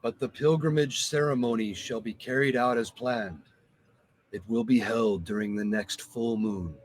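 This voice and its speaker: An actor playing a solemn, devout religious official in a video game, speaking formally and gravely. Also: male voice, mid-range voice, moderate pace, slight background noise, close to an everyday voice. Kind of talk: scripted video game voice acting.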